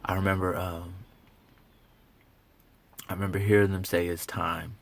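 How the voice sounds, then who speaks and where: A man performing a dramatic monologue as a grieving, bitter grown son of an executed father, with halting, emphatic repetition. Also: deep voice, flat delivery, creaky, a little hiss or hum. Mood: haunted, subdued.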